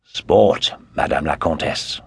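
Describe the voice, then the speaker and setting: A man voicing a jovial, loud young English nobleman who treats danger as sport. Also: very deep voice, slow pace, creaky, breathy edge, exaggerated voice. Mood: hearty. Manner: hearty, boisterous.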